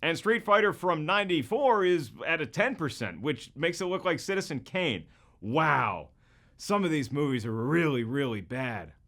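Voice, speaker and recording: Male host, higher-pitched voice, very animated delivery, wisecracking, scripted comedic video commentary. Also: moderate pace. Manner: wisecracking, mock-congratulatory, rhetorical questions.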